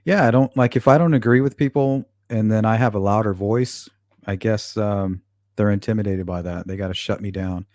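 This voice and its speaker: Low-voiced guy on a livestream, blunt and swears a lot, reads chat, dry close sound. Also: moderate pace, darkish tone, no echo. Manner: blunt and profane, talks back to chat. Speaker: channel host reading live chat.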